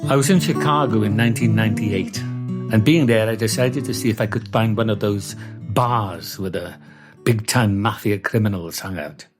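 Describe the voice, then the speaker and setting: A Welsh man telling a comic first-person story in a deadpan, wordy way. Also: on the low side, moderate pace, over music.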